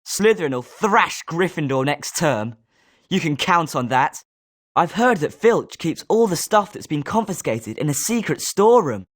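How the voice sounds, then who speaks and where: Smug wizard school boy, high voice, pointed delivery, video game line. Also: big pitch swings, normal speed. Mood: menacing. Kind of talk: scripted video game voice lines.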